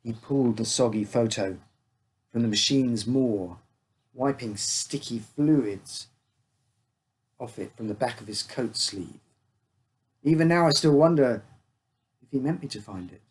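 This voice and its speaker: A man with a husky voice reading his own first-person uncanny story as a scripted narration. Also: mid-range voice, measured pace, long pauses.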